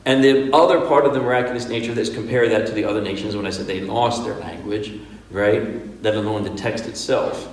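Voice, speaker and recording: Lower-voiced imam teaching in an echoey hall. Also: male voice, fairly even intonation, fairly quick. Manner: conversational, asks rhetorical questions like "right?" and "okay?"; demonstrates Arabic pronunciation. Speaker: imam teaching Quran workshop. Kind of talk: informal religious lecture. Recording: echoey hall, speaker far from the mic.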